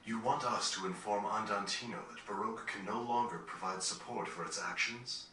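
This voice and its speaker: Thoughtful game gentleman, grave, formal, reflective, lowish pitch, sharp edge, roomy capture. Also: moderate pace. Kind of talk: dramatic video game cutscene acting. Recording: roomy, distant game capture with faint noise.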